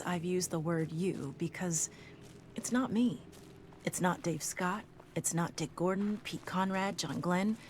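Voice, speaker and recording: A woman giving an expressive, reflective spoken-word recital, emphatic and at times hushed. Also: lowish voice, a little hiss or hum. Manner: dramatic, emphatic delivery with hushed phrases.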